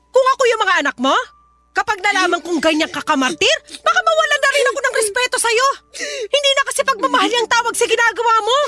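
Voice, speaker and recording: A very high, bright female voice plays a scolding older mother. She is blunt and exasperated, in Filipino radio drama style, with faint music behind her. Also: exaggerated voice.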